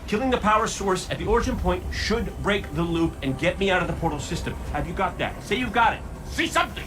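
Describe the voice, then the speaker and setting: An actor playing a cocky, wisecracking robot gunslinger in a video game cutscene, talking in a flippant, theatrical ramble. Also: male voice, sits high, animated, fast talker, noisy background. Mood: exasperated.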